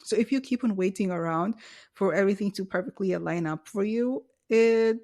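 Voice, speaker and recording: A woman's voice: medium pitch, brisk, a little mellow. A young adult woman from Kenya co-hosting a podcast, musing earnestly and restarting her sentences as she thinks. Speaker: podcast co-host. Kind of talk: casual conversational podcast.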